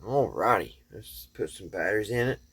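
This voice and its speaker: A man's voice: lowish voice, lively intonation, moderate pace, fairly bright tone, breathy edge. A man hosting a casual hands-on vlog, talking to viewers in a folksy way and thinking aloud as he works. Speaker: vlog host.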